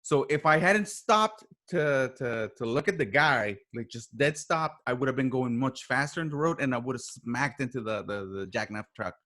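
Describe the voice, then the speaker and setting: Man, medium pitch, podcast guest, casual storytelling, halting delivery, repeated phrases, acted-out dialogue. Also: pushed and bright. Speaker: podcast guest, writer and voice actor.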